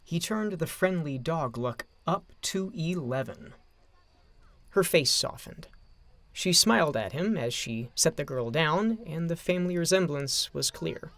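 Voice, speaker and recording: A man narrating a scripted story in a dramatic, expressive storytelling manner. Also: a little high, a little slow, no echo.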